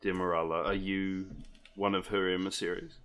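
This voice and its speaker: A man's voice: deep voice, level tone, moderate pace, a bit bright. Man playing a stern, suspicious adventurer.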